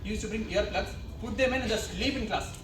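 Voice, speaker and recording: A woman's voice: mid-range voice, fast, lightly acted. A woman acting as a rude, impatient male former student in an amateur stage play, curt and scornful. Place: echoey college hall stage. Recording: roomy, distant, noisy stage recording.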